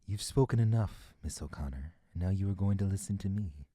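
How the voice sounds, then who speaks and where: An actor playing a manipulative fey lord in an audio drama, with a theatrical, condescending, deliberate delivery. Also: sits low, mellow, slightly rough, no echo, clean studio sound. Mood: coldly commanding. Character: manipulative fey lord Oberon, smooth and menacing captor.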